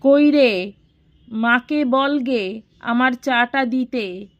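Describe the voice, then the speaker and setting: A woman's voice: on the high side, extremely slow, muffled recording, pitched above the performer's own voice. A woman voicing a weary, wounded middle-aged elder brother in an audio storybook, curt and indignant. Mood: forced casual.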